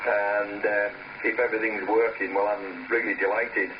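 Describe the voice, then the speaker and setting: Low-voiced man on a noisy ham radio. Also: flat delivery, normal speed. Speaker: amateur radio operator. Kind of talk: casual ham radio contact. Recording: noisy, muffled, distant radio transceiver audio. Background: radio static noise.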